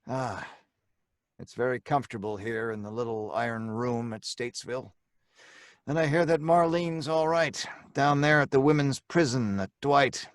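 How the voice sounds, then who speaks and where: A man's voice: medium pitch, no echo, clean studio sound, close to an everyday voice. A man playing a young condemned crook, narrating his own story with wry, sardonic delivery in an old-time radio drama.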